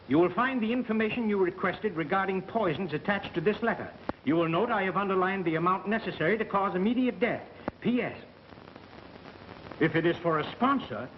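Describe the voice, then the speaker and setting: A man's voice: high voice, animated, a little fast. A man acting a frantic, blustering radio station boss in an agitated, demanding 1930s melodrama style, on an old film soundtrack. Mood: excited, blustering. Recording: muffled, hissy vintage 1930s film soundtrack.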